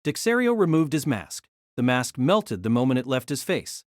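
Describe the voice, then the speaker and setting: A man's voice: mid-range voice, big pitch swings, moderate pace, dry recording, clean studio sound. A man giving a dramatic scripted narration of an action fantasy story.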